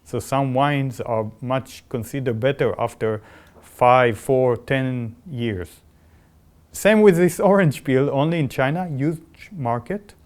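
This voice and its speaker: A male professor with an Israeli accent talks in a conversational podcast manner. His voice sits at a middling pitch, and he sounds enthusiastic as he explains things in non-native English phrasing. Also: takes it slowly, darkish tone. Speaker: biotechnology professor.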